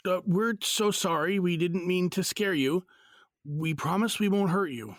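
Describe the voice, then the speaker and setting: A woman's voice: fairly low pitch, unhurried, dry recording, clean studio sound, natural voice. A woman playing a skeptical, grumpy but contrite man in a naturalistic audio drama, blunt and hesitant.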